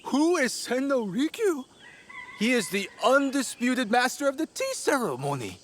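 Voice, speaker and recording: A brusque townsperson in a game cutscene. The voice is high and swoops with sarcastic emphasis, over faint outdoor ambient noise. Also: female voice, big pitch swings, moderate pace. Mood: incredulous. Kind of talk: video game cutscene acting.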